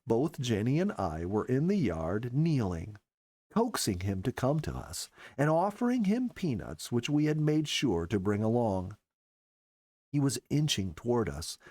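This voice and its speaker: A man reading a story as a scripted narration, in an expressive storyteller's manner. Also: mid-range voice, dry recording. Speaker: storyteller, show regular.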